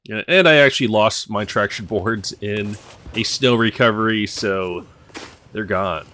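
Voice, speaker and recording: A man's voice: lowish voice, measured pace, pushed and bright. A man narrating a voice-over in a plain, matter-of-fact way.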